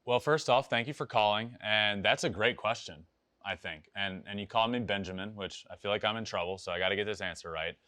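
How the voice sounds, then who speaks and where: A man's voice: fairly low pitch, a little fast, pushed and bright, dry recording. A young American man hosting a podcast, casually answering listeners' baseball questions in a conversational way, repeating phrases for emphasis. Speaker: podcast host and former professional baseball player. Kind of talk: casual sports talk answering listener voicemails.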